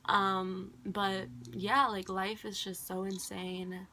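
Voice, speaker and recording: Young woman, native Khmer speaker, bright voice, loose rambling vlog delivery. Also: mid-range voice, little pitch movement, slow pace, a little hiss or hum, rough recording. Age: young adult. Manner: rambling, heavy on "like" and "um". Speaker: college student vlogger. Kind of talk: casual rambling monologue.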